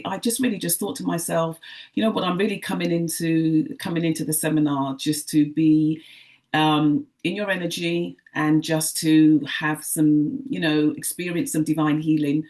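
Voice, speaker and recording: A woman with a low-pitched voice speaks on a video call. She is effusive and rambling, and she keeps circling back to what she means. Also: steady tone, normal speed, darkish tone. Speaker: webinar participant and colleague.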